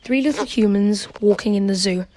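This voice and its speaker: A woman's voice: mid-range voice, moderate pace, background noise, lightly acted. A woman playing a blunt, suspicious, crooked policeman in an amateur radio play, speaking insistently. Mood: pondering.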